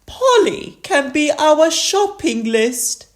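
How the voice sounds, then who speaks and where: A woman's voice: sits high, very animated, slow pace, voice pushed up, heavily acted. A woman voicing a kindly, cheerful elderly granny pig in a children's storybook, with a doting, singsong manner.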